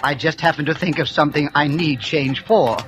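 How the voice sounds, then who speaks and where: An actor playing a polite, mild old gentleman lodger in a vintage radio drama, speaking in a courteous, gently fussy way. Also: fairly high pitch, fairly even intonation, fairly quick, soft background music, lightly acted. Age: older. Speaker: elderly lodger. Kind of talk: 1940s radio acting. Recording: hissy old radio broadcast.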